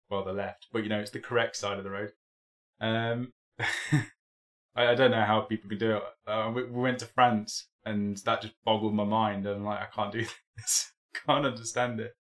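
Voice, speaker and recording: Chatty man, low voice, stream host. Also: little pitch movement, moderate pace. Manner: rambling, chatty, many ums and restarts. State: recovering from a cold, a bit tired. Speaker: host of a live hobby stream. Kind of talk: casual live-stream chat.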